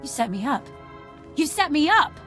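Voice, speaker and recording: A woman's voice: sits high, very animated, normal speed. An actress voicing a scrappy, street-smart woman outlaw in a video game, wary and defiant, talking in clipped colloquial phrases. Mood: betrayed, furious. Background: music.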